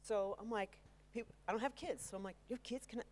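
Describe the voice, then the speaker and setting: A woman panelist in ed tech, speaking with quick, self-deprecating humor into a handheld mic on a conference stage. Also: fairly high pitch.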